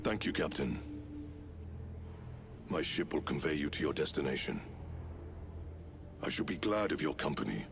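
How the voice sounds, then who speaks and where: Very deep, commanding Chapter Master. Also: male voice, very animated, normal speed, vocal fry, pauses often, background noise, exaggerated voice. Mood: grave, solemn. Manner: grave, formal, oratorical. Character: commanding, proud Space Marine Chapter Master, stern and noble. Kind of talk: video game cutscene acting.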